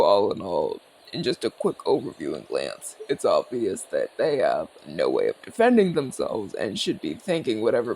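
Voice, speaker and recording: A man's voice: fairly low pitch, vocal fry, darkish tone, slightly rough, heavily acted, low-quality recording. A man playing a seasoned, cocky but easygoing orc commander in an audio roleplay, talking in a casual, teasing, explanatory way. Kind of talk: ASMR audio roleplay acting.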